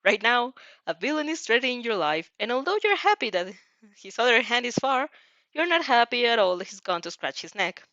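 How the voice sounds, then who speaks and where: Theatrical high-pitched woman reading a story. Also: very animated, fairly quick, bright, forceful tone, no room sound, muffled recording. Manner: theatrical, emotive. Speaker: narrator reading fan fiction aloud. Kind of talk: dramatic second-person story reading with reactions.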